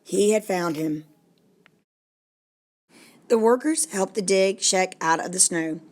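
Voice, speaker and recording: A woman reading a story aloud as a narrator, in a deliberate, dramatic storytelling manner. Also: medium pitch. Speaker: storybook reader. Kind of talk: expressive read-aloud narration.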